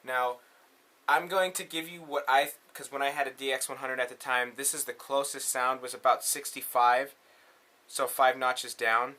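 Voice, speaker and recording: A bright, forceful man with a mid-range voice hosts a hands-on tutorial. He speaks casually and informally, using everyday slang as he explains each step. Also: mid-range voice, fairly even intonation, normal speed. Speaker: tutorial host.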